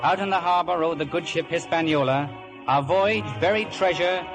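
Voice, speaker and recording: A man's voice: on the high side, normal speed, natural voice. A male actor playing a youthful adventurer narrating his own sea story in a scripted radio drama. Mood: wistful, eager. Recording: old radio broadcast, loud music underneath.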